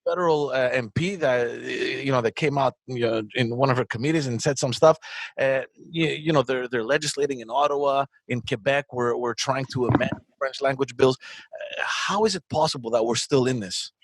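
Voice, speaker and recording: A man's voice: mid-range voice, normal speed, dry recording. Man host asking earnest questions. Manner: earnest, searching questions with restarts. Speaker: podcast host. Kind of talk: interview questions.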